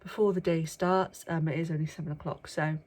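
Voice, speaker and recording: A woman's voice: low voice, fairly even intonation, brisk. Bunged-up woman, chatty vlog. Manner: chatty, with frequent ums, sometimes hushed. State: bunged up with a cold. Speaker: vlogger. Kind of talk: casual chat to camera. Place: workplace indoors, early morning.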